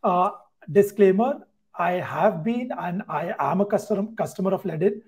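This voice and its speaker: High-voiced podcast host man. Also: normal speed, a little mellow. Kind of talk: podcast interview hosting. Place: home room indoors at night.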